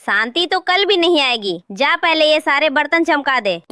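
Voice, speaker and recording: A woman's voice: sits high, lively intonation, moderate pace, sharp tone, dry recording, clean studio sound. Woman doing a bossy, scolding mother-in-law. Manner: domineering, scolding. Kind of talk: cartoon story dubbing.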